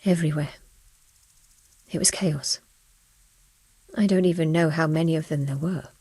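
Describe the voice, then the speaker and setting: Mellow, low-voiced shaken widow. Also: female voice, moderate pace, slightly rough, no echo. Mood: troubled. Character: shaken, bereaved medieval village widow, frank and weary. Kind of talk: dramatic video game acting.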